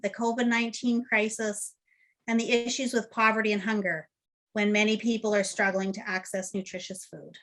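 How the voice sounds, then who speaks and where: A woman's voice: fairly high pitch, a bit bright. A woman welcoming people to an online meeting, chatty and friendly, with many fillers as she runs through the call rules. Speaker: meeting host. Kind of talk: welcome and housekeeping on a video call. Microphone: video call.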